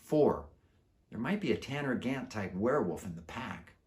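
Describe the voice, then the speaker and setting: Animated man reading a children's story. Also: lowish voice, normal speed. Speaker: author reading aloud.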